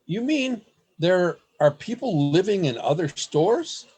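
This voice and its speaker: An earnest, anxious man acted by a man tells his story as if from a diary in a radio drama. His voice is rough-edged and unhurried. The pitch moves widely, giving it a theatrical, deliberate feel. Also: big pitch swings, a little mellow, exaggerated voice. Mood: polite, curious. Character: earnest, anxious man trapped among secret store dwellers. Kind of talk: radio play acting with diary narration.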